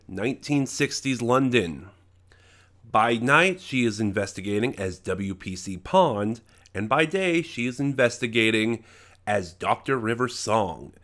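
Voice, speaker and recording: A man giving a casual, unscripted, opinionated review, stuffed up with a head cold, halting and often rephrasing as he thinks. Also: medium pitch, a bit bright.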